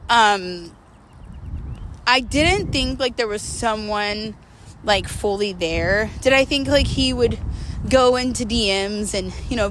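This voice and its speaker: A woman's voice: medium pitch, fairly bright tone, a little hiss or hum, rough recording. A woman talking casually and confessionally to her viewers, in a candid, rambling, emphatic way with slangy wording. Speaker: host of a personal vlog series.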